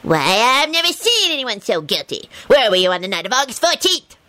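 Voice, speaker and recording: A woman's voice: fairly high pitch, sing-song, brisk, bright, forceful tone, no room sound, pitched above the performer's own voice. A woman voicing a tiny, pompous male train conductor in an audiobook, declaiming suspicious accusations. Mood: suspicious, indignant.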